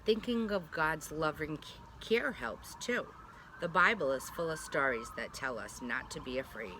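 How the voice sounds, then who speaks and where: A woman's voice: low voice, expressive, normal speed, noisy background. A woman pre-K teacher reading a picture book aloud to young children, speaking simply and directly to them with little check-in questions.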